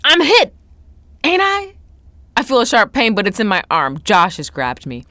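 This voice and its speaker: A woman's voice: on the high side, huge pitch swings, moderate pace, sharp tone, no room sound, voice pushed up, full character voice. A woman voicing a frightened male baseball player in a dramatic, suspenseful first-person audiobook reading. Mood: panicked.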